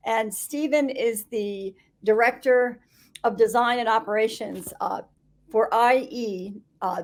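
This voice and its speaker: A woman's voice: fairly high pitch, darkish tone. A woman hosting a live business interview, introducing her guest in a friendly, slightly hesitant way. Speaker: interview host. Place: video call.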